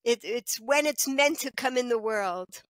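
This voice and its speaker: A woman's voice: fairly high pitch, a little slow, no room sound. A middle-aged woman on a video call through a headset mic, chatting animatedly as a guest and often saying "you know". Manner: animated, gestures a lot, lots of "you know". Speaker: guest, children's author. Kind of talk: casual video call chat. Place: small room at home.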